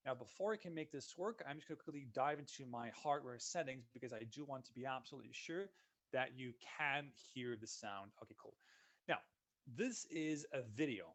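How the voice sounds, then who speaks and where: A man, a solutions consultant giving a relaxed, conversational walkthrough of software in a live webinar. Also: medium pitch, brisk. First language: Dutch. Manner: relaxed, conversational walkthrough narrating each click.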